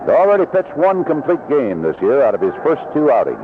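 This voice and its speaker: A man's voice: mid-range voice, very animated, brisk. A man calling baseball play-by-play on live radio in short, descriptive phrases. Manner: descriptive, short clipped calls. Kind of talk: live radio sports commentary. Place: broadcast position at an outdoor ballpark. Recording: narrow-band vintage radio broadcast, noisy.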